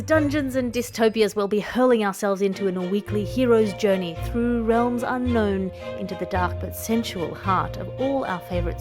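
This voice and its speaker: Woman hosting a comedy podcast. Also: a little high. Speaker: podcast host. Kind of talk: casual podcast chat and scripted promo.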